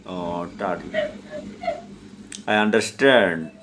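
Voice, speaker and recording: A man with a Bangladeshi accent chatting casually in halting, simple learner's English on an online video call. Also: deep voice, expressive, fairly bright tone. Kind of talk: casual English practice conversation. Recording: clear background noise, online call.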